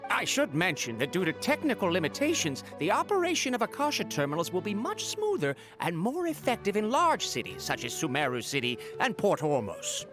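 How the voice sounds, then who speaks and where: A woman's voice: fairly low pitch, huge pitch swings, brisk, few pauses, music in the background. A woman playing a courteous, official city gatekeeper in a video game, speaking in a formal, polite, explanatory way.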